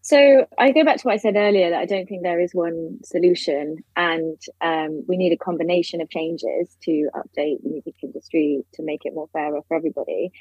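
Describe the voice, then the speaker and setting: Woman, husky, conversational expert guest, muffled lo-fi sound, very dry. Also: fairly low pitch, a little fast, few pauses, dry recording. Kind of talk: conversational podcast commentary.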